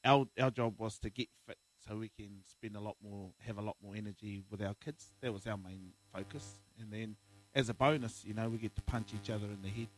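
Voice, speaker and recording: A man's voice: on the low side, steady tone, brisk, poor audio. Hyped-up New man, laughing guest. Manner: laughing, hyped-up banter with slang like "bro". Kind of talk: casual podcast interview.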